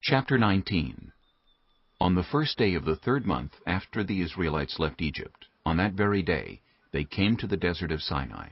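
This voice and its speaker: A man narrating scripture from a script in a measured storytelling manner. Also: sits low, slightly rough, dry recording, lo-fi sound.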